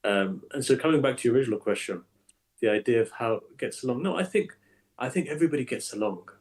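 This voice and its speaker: A man, an imam, speaking as a guest in a conversational interview, emphatic and animated, often repeating his words as he builds a point. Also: medium pitch, quick pace.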